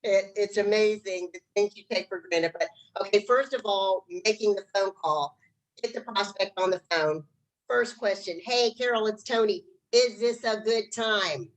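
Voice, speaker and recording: A woman's voice: medium pitch, normal speed, poor audio. Plain-spoken middle-aged woman explaining business technique. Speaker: guest business trainer. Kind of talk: conversational instruction.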